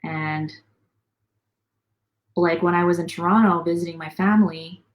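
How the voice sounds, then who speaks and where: A woman's voice: on the low side, steady tone, normal speed, muffled recording. A young woman talking casually as a guest in an online interview, upbeat and chatty with lots of fillers. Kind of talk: casual video call interview.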